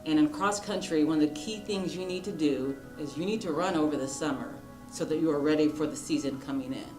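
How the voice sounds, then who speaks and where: A woman speaking in a measured, earnest way, giving thoughtful interview answers. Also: low-pitched. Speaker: interviewee on mental health and diversity. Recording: roomy echo, music underneath. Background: background music.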